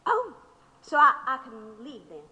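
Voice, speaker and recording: A woman's voice: high voice, big pitch swings, measured pace, sharp tone, big-room sound, highs cut off, heavily acted. An actress playing a sardonic, unyielding older woman labor radical under questioning in an audio drama. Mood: wry.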